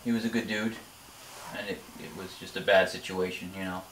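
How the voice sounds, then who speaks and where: A man's voice: low voice, flat delivery, brisk, fairly bright tone. A man, a firefighter being interviewed, chatting casually and reminiscing in a workshop. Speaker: interviewee, firefighter and hobby sign maker. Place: indoor workshop. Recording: echoey room, distant mic, background noise.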